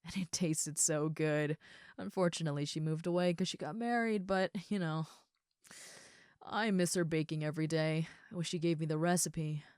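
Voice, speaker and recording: A woman playing a big, friendly ogre roommate in an audio roleplay, chatting casually and gently to the listener. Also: sits low, normal speed, clear, resonant tone, dry recording, studio quality, lightly acted. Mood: warm, reassuring. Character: huge muscular red female ogre roommate, friendly and easygoing.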